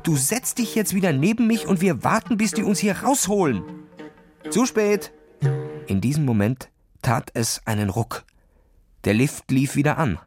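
A man's voice: medium pitch, no echo, studio quality. A man narrating a children's story in a dramatic, scripted reading style.

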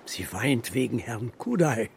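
An actor playing a stubborn, grumpy old steppe shepherd and grandfather in a children's radio drama, gruff and terse, with a husky voice. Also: mid-range voice, very animated, unhurried, a little mellow, full character voice. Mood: gruff, defiant.

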